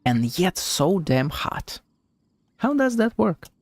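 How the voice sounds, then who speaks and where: A man's voice: sits high, sing-song, slow, no room sound. A man streaming a game with casual, slangy, laid-back live commentary.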